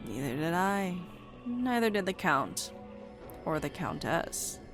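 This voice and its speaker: A woman's voice: lowish voice, very animated, slow, over music. A woman playing a sarcastic, bratty vampire deity in an audio drama, flippant, slangy and impatient. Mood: gossipy, flippant. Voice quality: creaky. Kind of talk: visual-novel audio drama.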